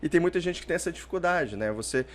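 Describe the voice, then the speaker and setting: A man's voice: a little high, lively intonation, quick pace, a bit bright. A man, a podcast guest who answers in a chatty way, often tagging lines with "né?". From Portugal, raised in Brazil. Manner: chatty, explains with "né?" tags. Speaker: podcast guest, startup founder. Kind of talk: conversational interview answers.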